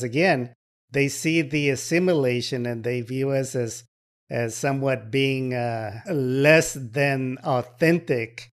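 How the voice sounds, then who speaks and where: A man hosting a podcast, reading an upbeat scripted introduction and then asking questions with some hesitation. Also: mid-range voice. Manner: earnest, promotional delivery with fillers in questions. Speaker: podcast host.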